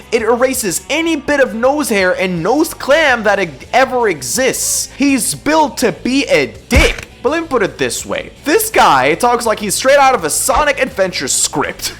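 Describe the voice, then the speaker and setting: A YouTube host delivering a comedic scripted rant in a theatrical, exaggerated way, with drawn-out words and outbursts. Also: mid-range voice, fairly bright tone. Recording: music behind the voice. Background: background music.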